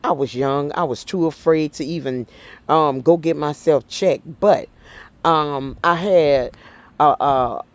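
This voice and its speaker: A middle-aged woman vlogging in an earnest, heartfelt way, with halting speech full of fillers and restarts. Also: deep voice. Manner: heartfelt and faith-driven. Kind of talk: casual personal talk to camera.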